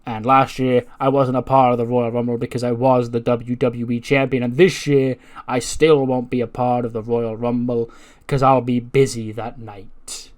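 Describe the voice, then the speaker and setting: A man acting out a resolute hero wrestler's promo speech, declamatory and deliberate, with dramatic pauses. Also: mid-range voice, lightly acted. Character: showman hero wrestler solemnly addressing an arena crowd.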